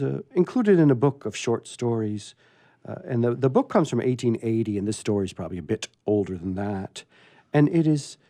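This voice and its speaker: Playful man hosting, very animated pitch. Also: dry recording, studio quality. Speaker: radio show host. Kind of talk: casual, unscripted introduction. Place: studio.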